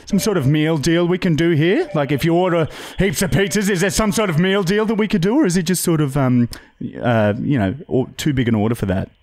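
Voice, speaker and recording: A man's voice: a little high, fairly quick, darkish tone, close to an everyday voice. Man putting on a dithering, chatty Australian female pizza customer. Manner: hesitant, polite, rambling.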